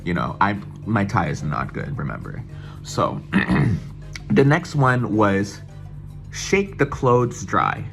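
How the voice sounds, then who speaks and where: A young man from the United States telling an excited, swearing storytime vlog and mimicking other people's voices as he goes. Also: fairly low pitch, takes it slowly, gravelly, faint music behind. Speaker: vlogger. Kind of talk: casual storytime chat.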